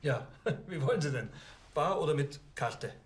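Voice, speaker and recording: Ein Schauspieler spielt einen plaudernden, selbstzufriedenen Heilpraktiker mittleren Alters in einer Krimikomödie, der mit neckenden Nachfragen redet. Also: male voice, a little high, lively intonation, moderate pace, slight background noise, close to an everyday voice. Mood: breezy. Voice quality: chatty, cajoling, with teasing tag questions. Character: chatty male healer, middle-aged, breezy and smug. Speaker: alternative healer. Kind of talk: German TV crime comedy audio version.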